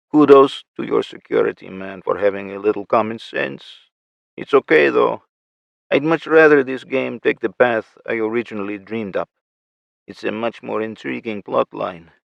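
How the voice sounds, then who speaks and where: A man's voice: fairly low pitch, vocal fry, no room sound. A man doing a smug Russian-accented villain. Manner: taunting, drawn-out and mocking. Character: gloating male villain, smug and menacing. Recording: muffled lo-fi recording.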